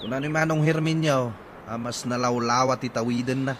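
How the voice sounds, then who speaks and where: A man's voice: medium pitch, fairly even intonation, a little fast, lightly acted. A man with an Ilocano accent playing a put-upon, plain-spoken husband in a radio comedy drama. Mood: resigned. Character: put-upon husband reporting his siblings' inheritance demands. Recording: noisy outdoor ambience with birdsong.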